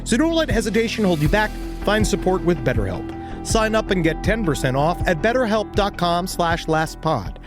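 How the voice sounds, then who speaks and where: A podcast host reading sponsor ads in a playful, jokey manner with silly tangents. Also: male voice, sits high, very animated, normal speed, over music. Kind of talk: playful ad read.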